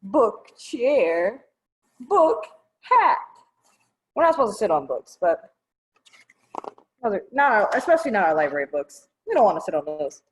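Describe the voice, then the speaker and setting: A woman's voice: mid-range voice, sing-song, normal speed, pauses often, low-quality recording. A woman presenting a children's storytime, reading aloud expressively and explaining things simply for young listeners.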